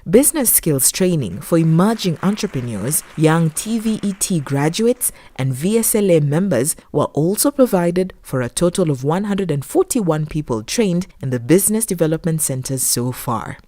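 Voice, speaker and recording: A woman reading a scripted documentary narration in a measured, formal manner. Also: low voice, very animated, mellow, dry recording. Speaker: documentary narrator, voice-over artist.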